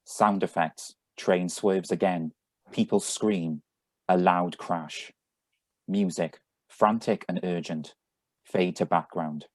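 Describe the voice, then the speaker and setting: A man's voice: sits low, steady tone, takes it slowly. A man reading out scripted sound-effect directions as announcer for a live radio play on a video call. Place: remote, on a Zoom video call.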